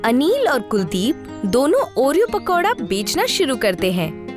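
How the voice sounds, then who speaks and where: Lively high-voiced woman storyteller. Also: unhurried, over music. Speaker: story narrator. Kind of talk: scripted storybook narration.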